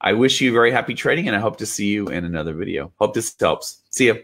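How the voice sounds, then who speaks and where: A man getting over a cold, hosting a relaxed instructional talk on trading, explaining step by step with a few fillers and restarts. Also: lowish voice, fast talker. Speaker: trading educator and channel host. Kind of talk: casual instructional talk to camera.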